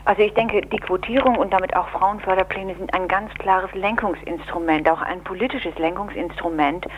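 A woman being interviewed as an official, answering in deliberate, formal and analytical phrases. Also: on the low side, steady tone, muffled recording, slight background noise. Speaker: interviewed women's equality officer. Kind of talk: spoken radio interview answers.

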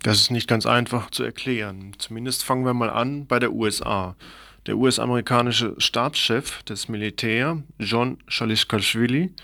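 Male radio commentator: scripted, explanatory, faintly ironic read, fry in the voice, dry studio-like sound. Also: medium pitch, bright, forceful tone, no echo.

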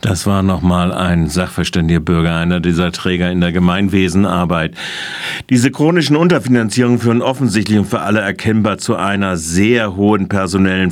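A man reporting on a council committee meeting in a halting delivery, pausing mid-sentence. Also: low-pitched, no room sound. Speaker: radio reporter.

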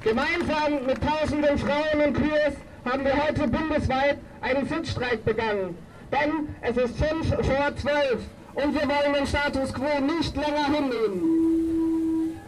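A woman's voice: sits high, unhurried. A woman speaking as a feminist activist at an outdoor demonstration, delivering a combative, declamatory political speech. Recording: distant outdoor microphone, loud street noise.

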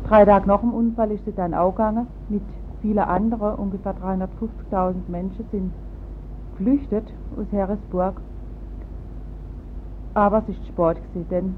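A woman with a Baden Alemannic accent hosting a radio programme in an informal moderating style. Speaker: radio host. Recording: muffled phone-like sound, rumbling noise.

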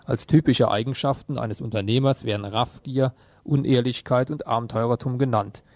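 A man's voice: mid-range voice, steady tone, normal speed, darkish tone, dry recording. A man presenting radio news, reading written reports in an informal programme. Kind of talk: read news bulletin with brief informal asides.

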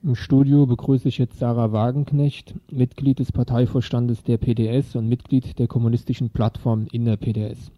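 A man's voice: medium pitch, level tone, moderate pace, hardly any pauses, lo-fi sound. A man hosting a studio interview, introducing a guest and asking questions. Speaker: radio interviewer. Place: radio studio.

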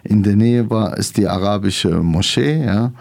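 A low, gravelly man answers an interviewer's questions. He speaks hesitantly, with many fillers and grammar. His voice is dark and mellow. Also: measured pace. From Syria. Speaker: restaurant owner.

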